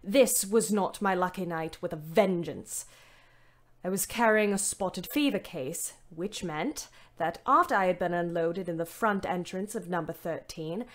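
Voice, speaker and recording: An actress playing a weary, bitter young ambulance driver, narrating a Great War audio drama in a wry, dramatic way. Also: female voice, lowish voice. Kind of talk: first-person audio drama monologue.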